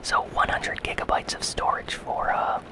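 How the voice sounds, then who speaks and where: A host whispering casual gaming news in a soft, breathy, unhurried ASMR style. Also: low-quality recording.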